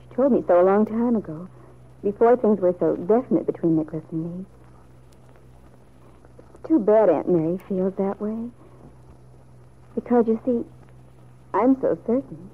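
An earnest, resolute young woman, played by an actress, speaks with a distinctly husky, breathy voice. It is old-time radio serial acting on a dull, lo-fi vintage recording. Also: medium pitch, normal speed, pauses often, close to an everyday voice. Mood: earnest, determined. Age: young adult.

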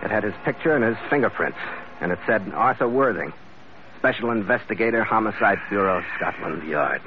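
An actor playing a tough, wry captain, narrating and speaking tersely in a hardboiled vintage radio drama. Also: male voice, on the low side, lively intonation, a little fast, background noise, close to an everyday voice. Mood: tense, matter-of-fact. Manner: terse, sardonic. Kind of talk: 1940s-50s radio noir narration and acting. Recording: muffled vintage radio recording.

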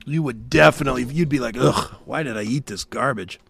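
A man's voice: medium pitch, fairly quick, creaky, fairly bright tone, husky, no room sound. An American man talking casually as a talk show co-host, blunt, profane and cantankerous. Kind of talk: casual chat, ranting.

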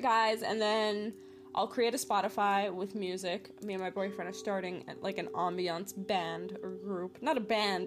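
Young woman, podcast host, casual rambling chat, laughs a lot, medium pitch, faint singing bowls behind. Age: 20s. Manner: rambling, giggly, upbeat. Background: soft singing bowls.

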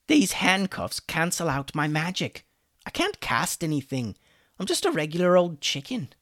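A man's voice: fairly high pitch, very animated, moderate pace, fairly bright tone, dry recording, pitched above the performer's own voice. A man voicing a sarcastic, weary male chicken wizard in a children's story. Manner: sarcastic, dry mocking.

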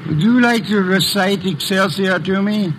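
An actor playing a pompous, grumbling detective genius in an old-time radio drama, speaking in formal, curt phrases. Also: male voice, high-pitched, moderate pace, a bit bright. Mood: grumpy, impatient. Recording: noisy vintage radio recording.

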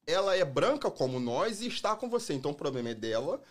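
A man's voice: on the high side, very animated, fast. A man from Brazil with a strong Rio accent, a guest in a casual podcast chat, talking emphatically. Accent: carioca. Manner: emphatic, swears he never felt prejudice.

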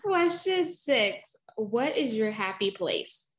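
A woman's voice: on the high side, sing-song, slow. Bubbly woman hosting a podcast. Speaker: podcast host. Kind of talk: upbeat podcast interview.

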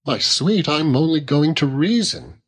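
A man voicing a fond, earnest young Victorian husband who gently reproves and reasons, in a storybook reading. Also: a little high, animated, unhurried, voice pushed up. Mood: earnest, reproving.

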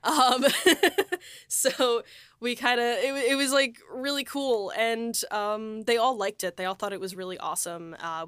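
She is a woman hosting an informal podcast about making a show. Her talk rambles and is dotted with "like". Her pitch sits in the ordinary middle range for a woman, and the sound is dry, with hardly any room to it. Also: fairly bright tone, clear, resonant tone, no echo. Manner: rambling, peppered with "like". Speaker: host and audio drama writer-producer. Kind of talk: casual behind-the-scenes podcast chat.

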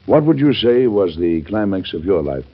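An actor playing an earnest, resolute president with formal, emphatic diction in a vintage radio drama. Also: male voice, deep voice, very animated, normal speed, full character voice. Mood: earnest, respectful. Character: earnest, resolute male president of the United States. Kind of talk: 1940s radio drama acting. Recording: dull old radio recording, faint music.

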